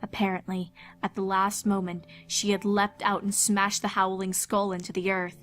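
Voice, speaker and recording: Woman narrating with very even intonation. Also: medium pitch, moderate pace, faint music behind. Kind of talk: scripted fan fiction horror narration.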